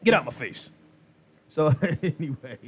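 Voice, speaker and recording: Man in his thirties, podcast co-host, casual chat, swings his pitch a lot, slightly high voice, swears and laughs. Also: measured pace, breathy edge. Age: 30s. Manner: animated, loud, swears freely, laughs often.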